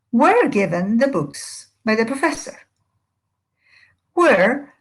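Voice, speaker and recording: A woman who is a native Spanish speaker, explaining grammar as a language teacher in a deliberate, methodical, didactic manner. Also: mid-range voice. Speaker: English teacher.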